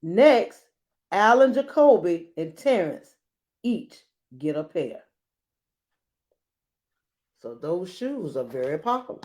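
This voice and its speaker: Female storybook reader, unhurried and highly varied in pitch, expressive emphasis for young listeners. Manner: expressive, dramatic emphasis with deliberate pauses. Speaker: read-aloud host. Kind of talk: children's storybook read-aloud with brief asides.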